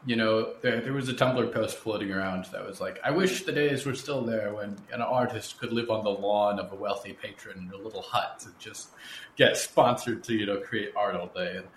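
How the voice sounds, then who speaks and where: A man with a slightly low voice talks as a podcast host, articulate and reflective in a conversational way. The recording is roomy and echoey, with some background noise behind him. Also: normal speed. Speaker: podcast host and fiction creator. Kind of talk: conversational interview talk.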